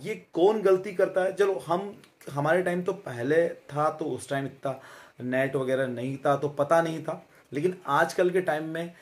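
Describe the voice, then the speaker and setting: A man in his thirties giving a casual fitness talk straight to camera, chatty and friendly, often pausing with filler sounds and tag questions, recorded on a phone held close in a small room. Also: a little high, steady tone. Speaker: fitness vlogger.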